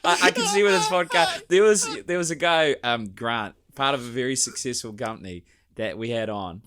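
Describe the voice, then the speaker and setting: A man's voice: medium pitch, animated, normal speed, a bit bright. A man hosting a podcast, chatting casually, rambling loosely and laughing often. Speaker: podcast host.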